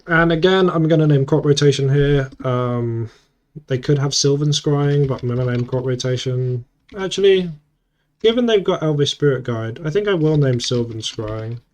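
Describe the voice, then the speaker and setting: A man's voice: mid-range voice, expressive, normal speed, darkish tone. A man with a cold and a sore throat, muttering casual play-by-play commentary as he plays an online card game and thinks aloud. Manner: muttering, self-correcting play-by-play.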